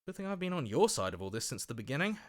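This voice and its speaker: A man's voice: medium pitch, sing-song, very fast, close to an everyday voice. A man playing a fickle, self-serving opportunist in a video game, with an ingratiating, wheedling manner. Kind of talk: video game character acting.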